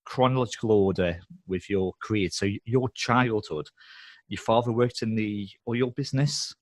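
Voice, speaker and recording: A man's voice: fairly low pitch, normal speed, no room sound. A man hosting a podcast, asking interview questions in a hesitant way with lots of fillers. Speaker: podcast host.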